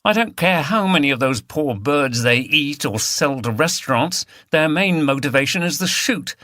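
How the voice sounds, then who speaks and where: Defiant countrywoman activist, played by a male reader, flippant and forthright, raised pitch, audiobook mystery. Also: animated, normal speed, bright, forceful tone, no room sound, studio quality. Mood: earnest, defiant. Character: defiant, blunt village animal rights woman.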